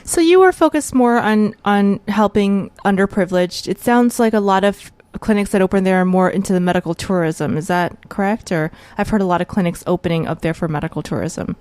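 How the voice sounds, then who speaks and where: A woman's voice: medium pitch, a little fast, hardly any pauses, darkish tone, dry recording. A woman hosting an interview podcast, asking questions and laughing often. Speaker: podcast host.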